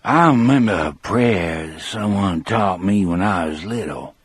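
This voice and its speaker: Man voicing a timid, husky, low-voiced gentle prisoner. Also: expressive, a little slow, no echo, heavily acted. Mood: timid, hopeful. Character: timid, gentle, hopeful male death row prisoner. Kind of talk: audiobook character dialogue.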